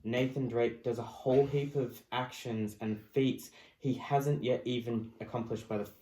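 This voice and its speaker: A man's voice: mid-range voice, steady tone, moderate pace, dark tone. A man co-hosting a podcast, giving his opinions in casual discussion. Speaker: podcast co-host. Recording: echoey, roomy sound.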